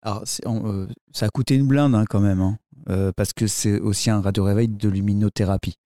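Man as an awkward, mellow, low-voiced office colleague. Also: steady tone, dry recording, clean studio sound, lightly acted. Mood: tentative. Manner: halting, mumbly restarts. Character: awkward male office colleague, loyal and well-meaning. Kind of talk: improvised sketch comedy.

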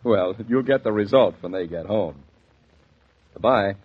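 A man with a husky voice announcing in a genial, polished 1940s sponsored radio style. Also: fairly low pitch, unhurried. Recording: tinny, band-limited 1940s radio transcription.

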